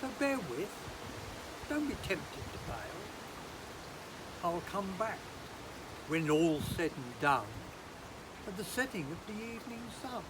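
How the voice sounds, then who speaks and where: A performer playing a playful village narrator, delivering an arch, deliberate rhyming prologue to an audience in a theatrical monologue. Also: medium pitch, big pitch swings. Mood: teasing. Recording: noisy amateur online recording, rain-like hiss.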